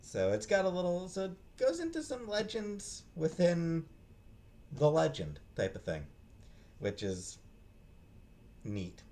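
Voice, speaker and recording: A man giving a casual, unscripted book review in a halting, hesitant way, often trailing off and restarting. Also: a little high, huge pitch swings, a little mellow, slight background noise. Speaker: book reviewer, vlog host.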